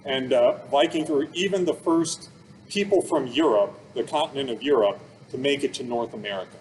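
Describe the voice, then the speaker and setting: A man's voice: mid-range voice, normal speed, darkish tone, a little hiss or hum, rough recording. A man giving an informal, plain-worded explanatory talk for children, like a museum expert. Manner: casual, plain-worded explanations with everyday comparisons.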